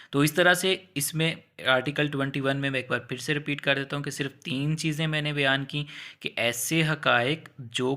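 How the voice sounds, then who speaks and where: Bright-voiced Pakistani man teaching law. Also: medium pitch. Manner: conversational, step-by-step, repeats points for emphasis; mixes in English legal terms. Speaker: law lecturer. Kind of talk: explanatory lecture with hypothetical examples.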